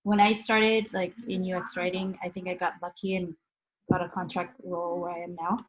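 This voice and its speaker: A woman's voice: lowish voice, level tone, moderate pace, poor audio. A woman UX writer on a panel giving encouraging advice in a casual way.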